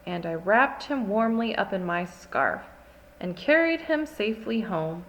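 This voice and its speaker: A woman voicing an earnest, dramatic little girl in a storybook, with expressive, childlike storytelling. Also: mid-range voice, measured pace, low-quality recording. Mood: fond, proud.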